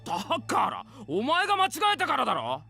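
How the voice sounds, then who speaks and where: A man acting a resentful, hot-headed teenage boy in a Japanese visual novel, with rough, combative delivery. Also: extremely high pitch, wildly swooping pitch, rapid-fire, sharp tone, soft background music, heavily acted. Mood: irritated.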